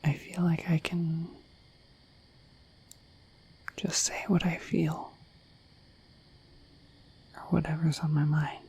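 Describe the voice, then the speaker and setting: A woman voicing a shy, affectionate dorky dragon in an ASMR roleplay, speaking in a gentle, hesitant, breathy whisper. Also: deep voice, flat delivery, unhurried, slight background noise, rough recording. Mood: shy, sincere. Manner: gentle, hesitant, slightly bashful, intimate.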